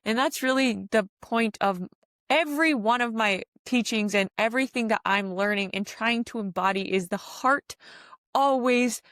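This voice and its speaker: A woman's voice: on the high side, normal speed, a bit bright, no room sound. Woman podcaster, very dry close sound. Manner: earnest, emphatic repetition of phrases. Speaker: podcast host and spiritual teacher. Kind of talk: informal spiritual self-help monologue.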